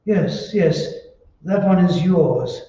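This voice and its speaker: A man playing a nervous, resentful henpecked husband in old-time radio horror style, with halting, repetitive phrasing. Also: high voice, warm tone. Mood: falsely soothing, nervous. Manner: halting, repeating words. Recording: muffled, echoey hall, far from mic.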